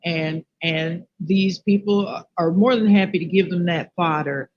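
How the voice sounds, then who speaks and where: A man's voice: sits high, a little slow. A man in a casual discussion, speaking in an earnest, insistent way. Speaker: live stream co-host. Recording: muffled, noisy lo-fi stream audio.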